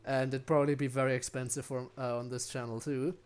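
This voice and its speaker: A man's voice: medium pitch, flat delivery, fast, faint music behind. A man giving casual, rambling live game commentary, musing aloud to his viewers. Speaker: game streamer.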